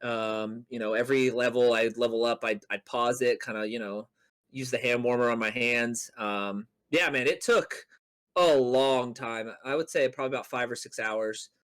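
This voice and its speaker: A man's voice: medium pitch, steady tone, moderate pace, fairly bright tone, clean tone. A man chatting casually as a podcast co-host, telling stories in a rambling way with playful mock boasting. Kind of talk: casual chat on a gaming podcast.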